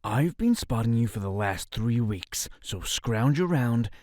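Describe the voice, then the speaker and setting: An actor playing a grumpy, cautious young crusader in a fantasy audio drama, blunt, nagging and exasperated. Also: male voice, medium pitch, lively intonation, measured pace, a little mellow, no echo, clean studio sound, natural voice. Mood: irritated. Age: young adult.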